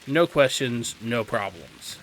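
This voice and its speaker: A man's voice: medium pitch, lively intonation, slow pace, fairly bright tone, poor audio. A man giving casual, instructional gameplay commentary as a guide host, in an explanatory, slightly wry way. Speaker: video game guide host. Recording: clear background game noise. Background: video game ambience.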